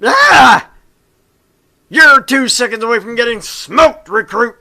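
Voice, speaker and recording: A man voicing a furious military drill sergeant who barks menacing, drawn-out threats at recruits. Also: very high-pitched, lively intonation, vocal fry, pushed and bright, voice pushed up, full character voice.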